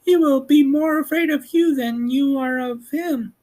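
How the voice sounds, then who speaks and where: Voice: sits high, a little slow, a little mellow, pitched above the performer's own voice. A man voicing a brave, polite and earnest young farm girl in a storybook reading. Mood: reassuring.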